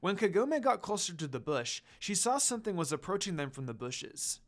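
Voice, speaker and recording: A woman reading fan fiction aloud as an expressive storyteller. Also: on the low side, fairly quick.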